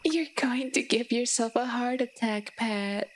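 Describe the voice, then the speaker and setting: A woman voicing a female vampire in an audio story, sweet and soothing, coaxing gently but with command. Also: a little high, unhurried, over a noisy crowd, pitched above the performer's own voice, natural voice. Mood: soothing, teasing. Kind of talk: dramatic audio story dialogue.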